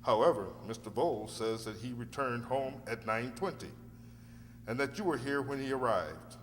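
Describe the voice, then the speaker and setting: A man's voice: medium pitch, lively intonation, moderate pace, natural voice. A man in a radio play acting an earnest, courteous defence lawyer who speaks formally and politely. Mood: frank, careful. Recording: echoey hall, faint noisy hum.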